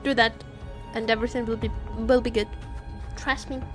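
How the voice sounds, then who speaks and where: A woman's voice: fairly high pitch, little pitch movement, moderate pace, a bit bright. A woman streaming a story game with casual, playful, teasing live commentary over game music.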